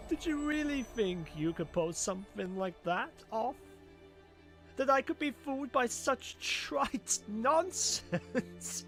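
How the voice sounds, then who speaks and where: A woman playing a suave, menacing, possessive sorcerer villain in an animated fan drama, with a silky theatrical delivery. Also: a little high, slow, exaggerated voice. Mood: mocking, menacing. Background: music.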